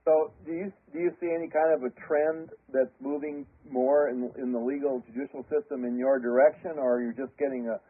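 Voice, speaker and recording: Male radio host, agreeable and halting, husky tone, narrow lo-fi audio. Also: medium pitch, steady tone. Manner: agreeable, short affirmations, halting questions. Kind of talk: radio interview. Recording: lo-fi phone-like broadcast audio.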